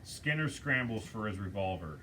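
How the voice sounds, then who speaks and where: A man's voice: lowish voice, expressive, moderate pace, pushed and bright, slight background noise, poor audio. A man reading stage directions aloud at a table reading and directing the scenes at a film studio.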